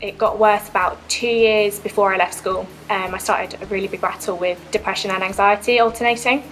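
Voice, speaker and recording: A young woman giving a personal baptism testimony, earnest and reflective, with frequent pauses and ums. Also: mid-range voice, steady tone, fairly bright tone, soft background music, low-quality recording.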